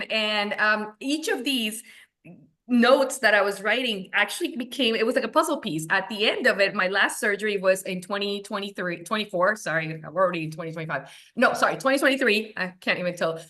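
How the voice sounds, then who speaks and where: A woman from Venezuela in her forties, a tech founder who gives lively interview answers and acts out her own past thoughts. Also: mid-range voice, brisk.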